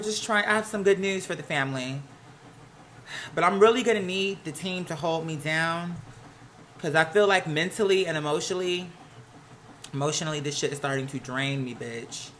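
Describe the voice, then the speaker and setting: A campy American host chats casually to a livestream with plenty of slang and sass. The voice is mid-pitched and notably bright and forceful. It is recorded at home with some household noise behind it. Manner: sassy, campy, slang-heavy. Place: at home, laundry running nearby. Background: laundry noise.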